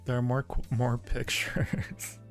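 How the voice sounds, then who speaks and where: A man's voice: mid-range voice, completely flat delivery, slow, faint music behind. A man hosting a live-stream Q&A, answering viewer questions casually with a playful, teasing and flirty manner. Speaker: audio roleplay creator hosting a live Q&A.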